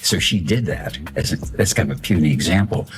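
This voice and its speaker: A man's voice: deep voice, expressive, fairly quick, background noise. An older man recounting things in a rambling, anecdotal way under questioning.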